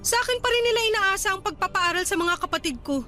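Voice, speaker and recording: Bright, very high-pitched, self-centred young schemer. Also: female voice, expressive, fast talker, faint music behind, full character voice. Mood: indignant. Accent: Filipino. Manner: theatrical, indignant, exclaiming. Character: vain, ambitious young resort worker weary of family burdens. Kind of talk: Filipino radio drama acting.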